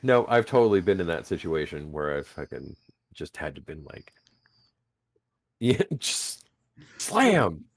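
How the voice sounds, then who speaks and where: A man game master chatting casually, animated and laughing often, swearing freely, with a booming voice. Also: takes it slowly, pauses often, dry recording. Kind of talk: casual podcast chat.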